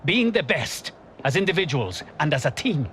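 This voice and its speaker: A man playing a passionate, commanding football coach giving an emphatic, measured team talk in a game cutscene. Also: on the high side, very animated, a bit bright, slight background noise. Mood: challenging.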